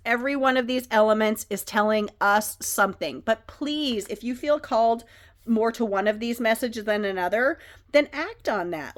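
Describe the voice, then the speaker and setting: Gentle woman reading oracle cards. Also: a little high. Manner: gentle, earnest, spiritual. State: slightly scratchy, recovering from a cold. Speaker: oracle card reader. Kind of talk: reading oracle card messages aloud.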